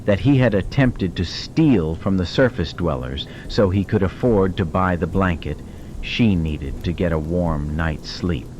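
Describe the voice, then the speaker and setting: Male narrator, very low register, dramatic storytelling over clearly audible effects. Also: a little slow, a little mellow, slight background noise, poor audio. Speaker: audiobook narrator.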